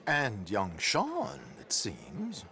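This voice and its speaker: Flamboyant, slow-spoken gentleman rogue. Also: lowish voice, wildly swooping pitch, creaky, full character voice. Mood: sober, informative. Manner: theatrical, affected, genteel. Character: dapper, flamboyant, silver-tongued gentleman con man. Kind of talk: dramatic video game acting.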